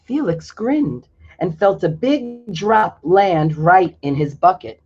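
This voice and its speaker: A man's voice: high-pitched, lively intonation, slow, darkish tone, husky. A man reading a picture book aloud to children, stopping now and then to explain things to his young listeners. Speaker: storyteller. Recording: dull lo-fi recording.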